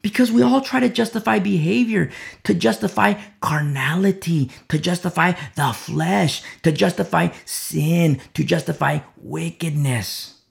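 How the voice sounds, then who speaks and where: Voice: medium pitch, a little slow, vocal fry. A preacher delivering a sermon, with rhetorical questions and a repeated "you see?".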